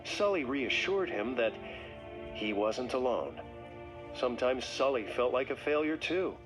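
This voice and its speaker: A man narrating a scripted read-along story for children in a dramatic, expressive way. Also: medium pitch, a little slow. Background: music.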